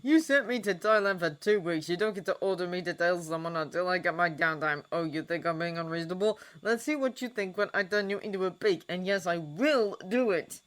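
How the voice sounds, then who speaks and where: A woman's voice: on the low side, a little fast, lightly acted. A woman reading aloud and voicing a grumpy, sardonic wizard man who growls and grumbles. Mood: irritated.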